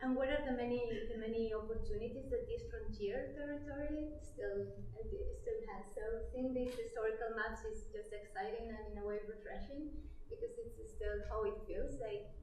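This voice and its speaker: A woman's voice: a little high, normal speed, mellow, a little hiss or hum. A woman from Spain with a Spanish accent, speaking at a panel discussion, thoughtful and often restarting phrases as she searches for words. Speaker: panelist, co-author. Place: lecture hall. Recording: echoey hall, mic at a distance.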